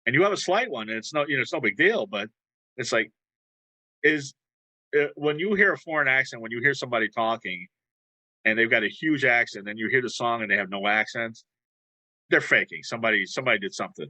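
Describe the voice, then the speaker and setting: Male host, rambling and teasing, very bright tense tone, dry close mic. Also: mid-range voice, brisk, dry recording, poor audio. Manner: rambling, repeats words, teasing. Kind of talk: casual interview chat.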